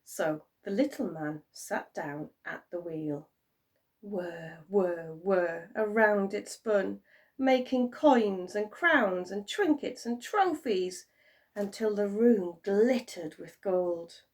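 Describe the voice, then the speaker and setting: A woman teacher reading a story to young children in an expressive, dramatic storytelling style. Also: mid-range voice, slow pace, recorded from a distance.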